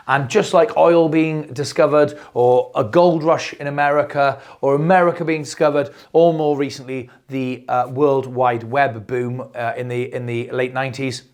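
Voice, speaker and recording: Guy losing his voice, rambles on about markets, lots of uhs, mid pitch. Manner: rambling, repeats words, many fillers. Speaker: crypto video host. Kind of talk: unscripted explainer monologue.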